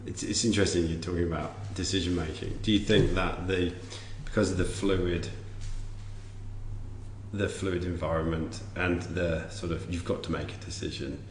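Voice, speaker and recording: A man's voice: low voice, fairly even intonation, moderate pace. A man hosting a casual, reflective interview, thinking aloud as he phrases his questions. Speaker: podcast interviewer and host. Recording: echoey room, faint background hum.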